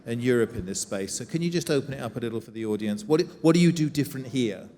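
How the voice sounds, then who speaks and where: A man's voice: mid-range voice, lively intonation, fast, warm tone, a little hiss or hum. Wry male interviewer in a hall. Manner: wry, joking, interjects with quips, stammered restarts. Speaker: interviewer, moderator. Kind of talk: conference stage interview. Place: conference stage before an audience. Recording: reverberant conference hall.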